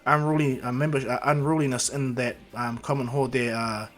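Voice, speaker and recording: A man's voice: mid-range voice, fairly even intonation, brisk, fairly bright tone, lightly acted. A man voicing an officious teenage boy classmate who scolds in a stiff, formal way in an anime story. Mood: reproving.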